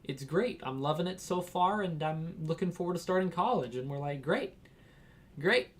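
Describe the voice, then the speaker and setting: A man's voice: a little high, animated, normal speed. A young man from Washington telling a casual, rambling story, laughing and acting out quotes. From Washington, United States. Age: young adult. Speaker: vlogger.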